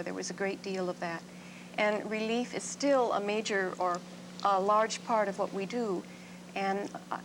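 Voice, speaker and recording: Woman, American accent, mid-pitched, organization spokesperson, explanatory answers, audible hum. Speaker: Quaker relief organization representative, interview guest. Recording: noisy, humming old TV recording.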